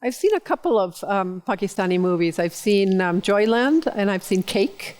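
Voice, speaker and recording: A middle-aged woman, a guest on a talk show, answering questions in a measured, thoughtful way. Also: mid-range voice, expressive, darkish tone. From United States. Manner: measured, thoughtful, with fillers and a friendly tone. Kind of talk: relaxed interview answers.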